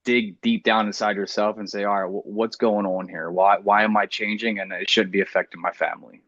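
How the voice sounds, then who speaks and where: A man's voice: fairly low pitch, steady tone, fairly quick, fairly bright tone, no echo, muffled recording. A man in his thirties with an American accent, talking earnestly and plainly in a casual roundtable. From New Jersey, United States. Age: 30s. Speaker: podcast panelist.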